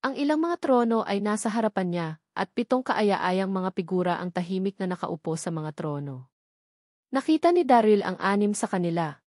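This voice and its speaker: A woman narrates a novel in scripted audiobook style, with a medium-pitched voice. The recording is very dry, with no room around the voice. She reads as a storyteller, working from a written text. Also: expressive, normal speed, a little mellow, dry recording.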